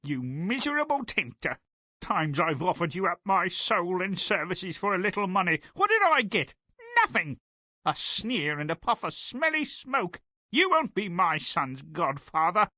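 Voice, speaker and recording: A man's voice: high-pitched, very animated, unhurried, bright, forceful tone, dry recording, full character voice. A man playing a brash, hapless poor father in a fairy-tale video game, whining and theatrical. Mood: indignant.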